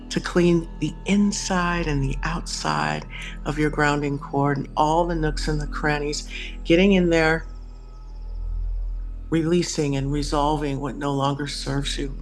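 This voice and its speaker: A man leading a guided meditation in a soothing, instructive manner with long pauses. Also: fairly high pitch. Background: music.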